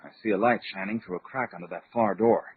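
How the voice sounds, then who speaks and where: An actor playing a decisive adventurer leader who gives urgent orders, in old-time radio drama style. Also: male voice, on the low side, fast talker, natural voice. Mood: urgent, hushed. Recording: thin, noisy phone-like radio recording.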